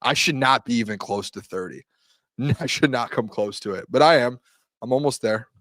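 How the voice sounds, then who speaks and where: A man's voice: mid-range voice, normal speed, no echo. A man in his late twenties co-hosting a sports stream, talking casually in a rambling way full of fillers. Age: 20s. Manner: rambling, filler-heavy, excitable. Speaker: sports show co-host. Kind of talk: casual live-stream chat.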